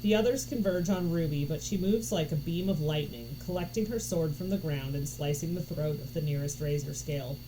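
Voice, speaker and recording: A woman reading a story aloud in an expressive narration style. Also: deep voice, brisk, hardly any pauses. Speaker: audiobook reader. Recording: noticeable background noise.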